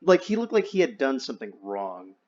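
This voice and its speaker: Young man, mid-pitched, casual profane storyteller with wry frankness, dull voice-chat sound. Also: sing-song, brisk, darkish tone. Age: young adult. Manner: candid, wry, slangy and profane. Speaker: friend telling his life story. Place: online VR voice chat.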